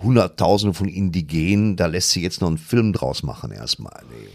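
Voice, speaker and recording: Male podcast co-host, low and animated, dry close sound, opinionated explainer in loose film chat. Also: normal speed, no room sound. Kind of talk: casual film discussion.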